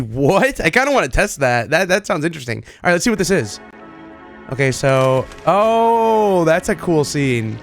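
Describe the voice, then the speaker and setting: A man giving casual, excitable reaction commentary as a gaming video host, with slangy, repetitive chat. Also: sits high. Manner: chatty, excitable, slangy, repeats himself. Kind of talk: casual reaction commentary to game images and clips. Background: background music.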